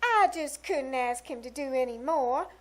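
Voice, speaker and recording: A woman's voice: high voice, lively intonation, moderate pace. A woman playing a coy, flirtatious widow in 1940s-style radio comedy, gushing and coquettish. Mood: coy, helpless. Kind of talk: 1940s radio comedy acting before a live audience. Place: small-town theater stage.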